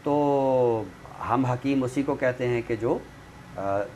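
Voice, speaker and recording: A man's voice: medium pitch, takes it slowly, a little mellow. A man explaining things in a didactic, conversational way, often checking that the listener follows. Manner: didactic, checks understanding with tag phrases. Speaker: literary expert guest. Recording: clearly noisy room recording.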